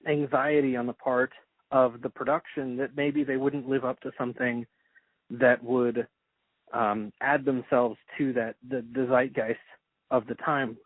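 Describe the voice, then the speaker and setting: A man's voice: mid-range voice, level tone, unhurried, no room sound. A middle-aged American man asking questions in a casual, conversational interview style. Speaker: podcast interviewer. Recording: dry, narrowband phone-like sound.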